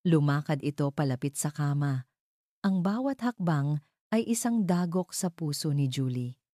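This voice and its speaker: A woman's voice: sits low, animated, a little slow, warm tone, no room sound, clean studio sound. A woman narrator reading a scripted romantic drama in a storytelling style.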